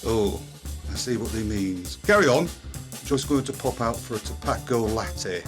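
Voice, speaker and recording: A man's voice: medium pitch, unhurried, over music, natural voice. A man voicing a small, grumbling butcher ventriloquist dummy with a blunt, scolding Northern English manner, in radio comedy style. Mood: grudging. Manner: dialect-heavy, blunt, scolding. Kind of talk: old-time radio comedy character.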